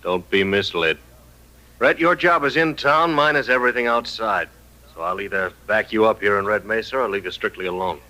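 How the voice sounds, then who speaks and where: No-nonsense young US marshal for radio western; fairly deep, bright, hard-edged delivery. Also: male voice, normal speed, rough recording. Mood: firm. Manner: matter-of-fact, firm. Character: young, firm, laconic deputy marshal in the West. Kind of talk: old-time radio western acting.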